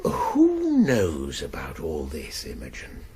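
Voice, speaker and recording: An actor with a British accent playing an older college Master in a radio drama, husky, formal, ponderous and dryly authoritative. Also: male voice, rumbling low, wildly swooping pitch, slow, mellow, heavily acted. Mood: dry, deliberate.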